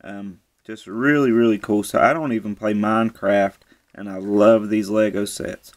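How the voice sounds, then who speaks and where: A man casually reviewing a toy set at home, relaxed and easygoing, often repeating how cool it is. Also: on the low side, moderate pace. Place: indoors at a table.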